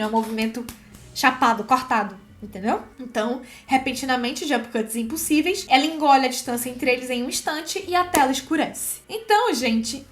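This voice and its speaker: A Brazilian woman with a notably high voice teaches screenwriting to viewers. Her delivery is chatty and expressive, with frequent little check-in tags as she explains and reads aloud. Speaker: screenwriting teacher and video host. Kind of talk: casual explanatory commentary with script read-aloud.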